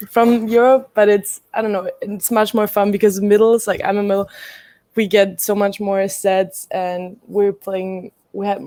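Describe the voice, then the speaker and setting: A woman's voice: medium pitch, dark tone, no room sound. A young woman from Greece, a college volleyball player answering interview questions casually, hesitant with many ums and frequent laughs. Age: young adult.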